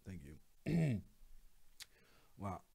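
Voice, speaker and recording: A man's voice: sits low, big pitch swings, very slow, creaky, dark tone, husky. Rambling young guy talking crypto charts. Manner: rambling, many fillers and restarts. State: losing his voice. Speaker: crypto channel host. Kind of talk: casual screen-share chart commentary.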